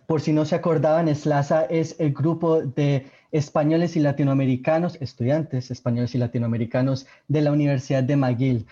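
A young man with a very dark, mellow voice hosts a student radio show, relaxed and welcoming. The sound is dull and lo-fi, a bit muffled. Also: a little high, moderate pace, few pauses. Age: young adult. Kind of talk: casual radio hosting.

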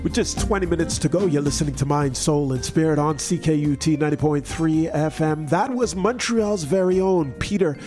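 A man's voice: a little high, normal speed, hardly any pauses, over music. A man hosting a radio interview, upbeat and affirming. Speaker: radio host.

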